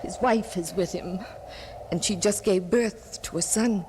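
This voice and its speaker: An actress playing an anxious, protective middle-aged aunt, earnest and pleading, in an old-time radio drama. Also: female voice, mid-range voice, measured pace, noisy background, natural voice. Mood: worried.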